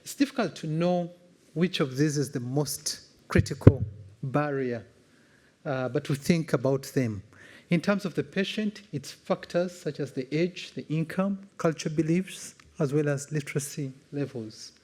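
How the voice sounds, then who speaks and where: Higher-voiced Ugandan man presenting formally. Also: moderate pace, a little mellow. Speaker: conference speaker, cancer specialist. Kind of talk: slide presentation.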